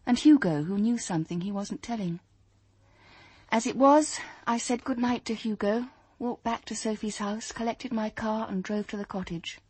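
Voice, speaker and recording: An actress playing an earnest, observant young detective, in radio drama dialogue and first-person narration. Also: female voice, medium pitch, darkish tone, husky, no room sound, natural voice. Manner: earnest, thoughtful, literate narration.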